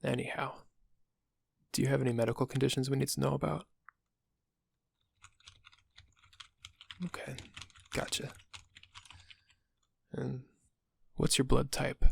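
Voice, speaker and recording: An actor playing a friendly but subtly sinister dental receptionist in an audio roleplay, casual and polite, with a husky, gravelly voice. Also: male voice, mid-range voice, monotone, moderate pace, pauses often, dry recording. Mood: casual, prying. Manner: casual, polite, quietly insinuating. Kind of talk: intimate audio roleplay acting.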